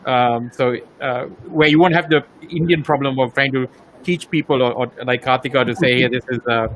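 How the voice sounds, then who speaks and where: A man's voice: medium pitch, steady tone, fairly quick, fairly bright tone, a little hiss or hum, poor audio. A man, originally from Sri Lanka, interviewing a guest in a casual, rambling way full of fillers and repeated words.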